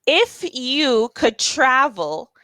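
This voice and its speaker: A fairly high-voiced woman teaches English over a video call, taking her time with each word. Her questions come slow and patient. The sound is close and dry, with no room around it. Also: big pitch swings, sharp tone, dry recording. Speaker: online English tutor. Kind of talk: casual tutoring conversation.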